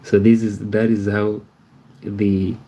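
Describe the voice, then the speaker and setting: A man's voice: fairly low pitch, level tone, slow, mellow, poor audio. A man in his thirties hosting a casual livestream, rambling and repeating himself as he talks to viewers and asks "you see?". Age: 30s. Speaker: livestream host. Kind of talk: casual livestream chat reading viewer comments.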